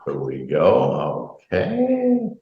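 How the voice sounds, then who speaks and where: Host guy, very low voice, rambles with lots of ums, echoey dull-sounding room. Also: huge pitch swings. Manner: rambling, halting, heavy fillers. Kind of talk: casual video chat.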